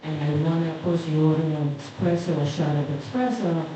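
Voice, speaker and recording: A woman with a Cuban Spanish accent telling relaxed anecdotes on a panel, in a large hall. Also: low voice, normal speed. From Cuba. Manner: relaxed, anecdotal, light laughter between points. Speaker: panelist artist. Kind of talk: conversational storytelling on a panel. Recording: echoey hall, voice from far off the mic, dull sound, faint noise.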